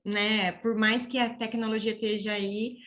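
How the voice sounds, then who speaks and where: A woman's voice: medium pitch, little pitch movement, a little slow, fairly bright tone, a little hiss or hum. A woman speaking as a podcast guest, casual and reflective, often closing phrases with a tag question.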